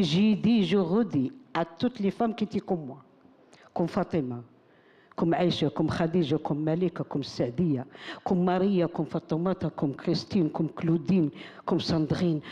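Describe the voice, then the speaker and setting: An older woman recites her own text on a stage, her voice soft and mellow. She builds rhythm by repeating lines like a chant. The sound rings with the long reverb of a big hall. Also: lowish voice, muffled recording. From Morocco. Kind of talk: spoken-word recitation to an audience.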